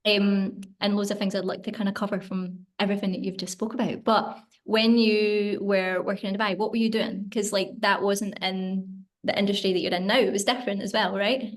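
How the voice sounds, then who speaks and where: A woman's voice: medium pitch, level tone, fairly quick, few pauses. Woman hosting a podcast interview. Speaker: podcast host. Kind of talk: casual interview chat.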